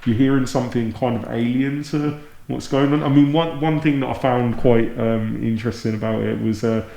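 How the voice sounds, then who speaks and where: A man's voice: medium pitch, steady tone, moderate pace, vocal fry, dark tone. A man with an English accent, chatting informally and enthusiastically as a podcast host. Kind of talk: casual chat. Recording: roomy, echoing sound.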